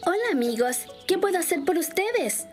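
A woman's voice: very high voice, very animated, moderate pace. A woman voicing a friendly, giggly, helpful little squirrel in a cartoon. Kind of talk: children's cartoon dub. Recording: music behind the voice.

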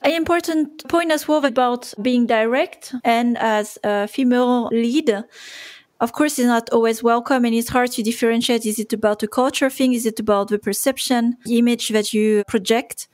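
A woman's voice: a little high, steady tone, normal speed, dry recording, studio quality. A woman hosting an interview, asking long, thoughtful questions. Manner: attentive, thoughtful, asks long questions with fillers. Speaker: podcast host, interviewer.

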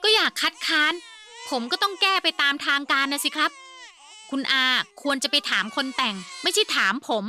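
A woman voicing a hot-tempered young rich man who talks loud, high and petulant, reading a storybook. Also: very animated, normal speed, a little hiss or hum, heavily acted. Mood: irritated. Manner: loud, high and petulant, sings a children's song.

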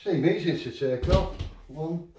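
A man's voice: on the high side, unhurried. A middle-aged man with a Northern English accent, casually reviewing camping gear in his living room, rambling and matter-of-fact. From England. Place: living room at home, indoors. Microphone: far camera on a tripod. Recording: roomy, distant camera audio, faint noise.

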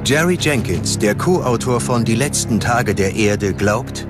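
A man's voice: fairly low pitch, expressive, measured pace. A man narrating a scripted documentary in a grave, dramatic manner. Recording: prominent music bed under voice-over. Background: music.

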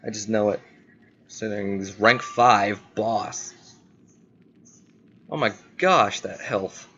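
Guy doing a casual lets play, lowish voice, really slow talker, playful, bit of noise behind him. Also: bright, forceful tone, muffled recording. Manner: playful, talks to himself, drawn-out exclamations. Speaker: gaming video host. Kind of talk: casual gameplay commentary.

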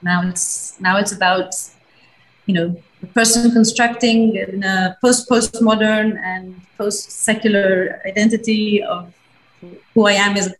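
Woman, fifties, native hebrew speaker, halting, restarts often, video call audio. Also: medium pitch, low-quality recording. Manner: halting, searching for words, many restarts. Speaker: artist panelist. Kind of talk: video call panel talk. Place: bright home studio room.

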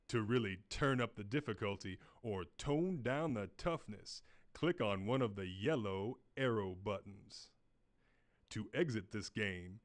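A man reading scripted instructions as the narrator of an educational game. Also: fairly low pitch.

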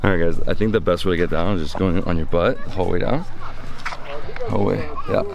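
Man, deep low voice, excitable, chatty, handheld camera, stone church. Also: fast talker, creaky, in a large hall, noisy background. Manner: excitable, exclaims often, chatty. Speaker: travel vlogger. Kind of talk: casual travel vlog narration. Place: outdoors and inside a stone church. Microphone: camera held at arm's length.

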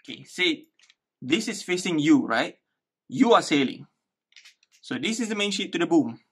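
A man's voice: a little high, very animated, moderate pace, a bit bright, slightly rough. A man in his thirties explaining a hands-on build casually, thinking aloud and repeating words as he goes. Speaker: DIY tutorial host. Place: small living room indoors.

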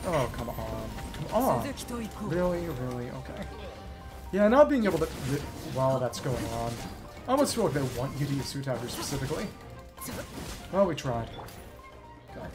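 A young man streaming a video game, rambling casually and thinking aloud to himself as he plays. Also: a little high. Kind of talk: casual gameplay commentary. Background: game music and effects.

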